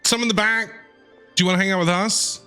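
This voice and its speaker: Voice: mid-range voice, fairly even intonation, fast, pushed and bright, clean tone, faint music behind. A streamer giving casual, chatty live reactions to a video game, talking back to the characters aloud.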